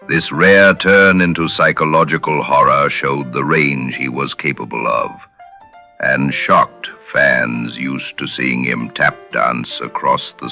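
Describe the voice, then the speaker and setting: A man narrates in a very deep voice, slow and deliberate. His tone carries a crisp, bright edge. Faint music sits beneath a slightly dull recording. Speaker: podcast host. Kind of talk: scripted narration.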